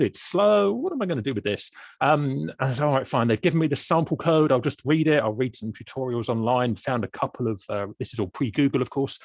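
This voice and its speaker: A middle-aged man telling stories in a webinar as a guest data scientist, acting out his quoted thoughts with big leaps in pitch. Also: dry recording. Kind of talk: animated storytelling in a webinar chat.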